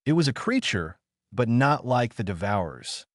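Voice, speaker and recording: A man's voice: medium pitch, sing-song, moderate pace, dry recording, studio quality. A man reading scripted narration for a science fiction audio drama.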